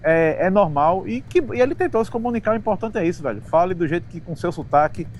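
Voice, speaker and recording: A Brazilian man on a sports talk panel, chatting casually with slangy words and halting restarts. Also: high-pitched, animated, muffled recording, a little hiss or hum.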